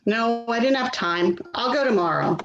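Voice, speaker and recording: A woman's voice: fairly high pitch, animated, moderate pace. A woman playing an exasperated, worrying adult daughter in a readers theater play, nagging and put-upon, recorded in an echoey room. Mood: weary, defensive. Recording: echoey room, dull sound, faint noise.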